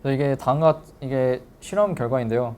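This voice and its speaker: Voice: mid-range voice, animated, fast talker, a little mellow. A lecturer giving a technical seminar, explaining step by step in polite but informal speech.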